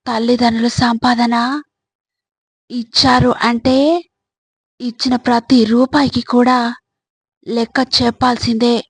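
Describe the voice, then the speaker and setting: Slow, even-toned woman giving life advice. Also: a little high, slightly rough, no room sound. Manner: measured, instructive, addressing listeners directly. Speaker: motivational speaker. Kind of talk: scripted motivational advice narration.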